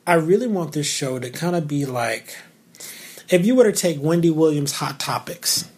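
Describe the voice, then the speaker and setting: A man with a naturally raspy voice, hosting his own talk show alone, talking candidly and cracking asides. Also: fairly high pitch, lively intonation, moderate pace, a little mellow. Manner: candid and joking, with asides and filler words. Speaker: podcast host. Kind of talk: solo casual talk show.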